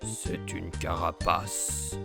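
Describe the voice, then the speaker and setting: Super deep gravelly turtle, crawling slow, old and solemn. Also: male voice, steady tone, loud music behind the voice, full character voice. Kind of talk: storybook character voice.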